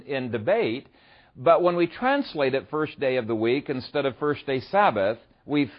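A man from Ethiopia preaching a sermon, conversational and easygoing, often pausing with "uh" as he tells a story and explains scripture. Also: mid-range voice, dull audio. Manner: conversational storyteller, frequent "uh" fillers. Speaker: pastor. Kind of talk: sermon with a humorous opening story.

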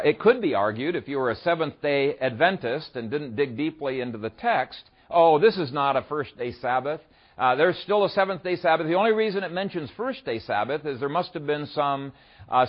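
A man from Ethiopia preaching a sermon, conversational and easygoing, often pausing with "uh" as he tells a story and explains scripture. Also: mid-range voice, highs cut off. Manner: conversational storyteller, frequent "uh" fillers. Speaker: pastor. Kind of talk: sermon with a humorous opening story.

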